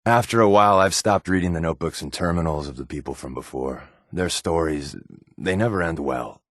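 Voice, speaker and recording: A man's voice: sits low, a little fast, no echo, clean studio sound. A man playing a wry, laid-back spy companion in a post-apocalyptic video game, given to sardonic asides. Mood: subdued, somber.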